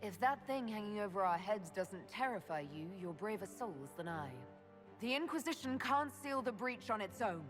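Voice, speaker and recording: A woman's voice: mid-range voice, animated, moderate pace, soft background music, natural voice. A woman voicing a resolute, diplomatic female hero leader in a video game. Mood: persuasive. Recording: game audio with long reverb.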